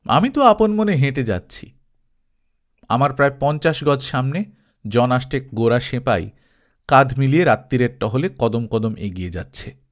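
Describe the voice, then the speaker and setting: A man reading a literary travelogue aloud as an expressive, dramatized audiobook storyteller. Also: mid-range voice, moderate pace. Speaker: audiobook narrator.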